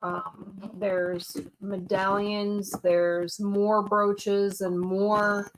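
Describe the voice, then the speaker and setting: Female co-host, unhurried, itemizing lots, faint background hiss. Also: mid-range voice, little pitch movement, poor audio. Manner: slow, itemizing, hesitant with ums. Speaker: auction co-host. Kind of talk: describing items for sale.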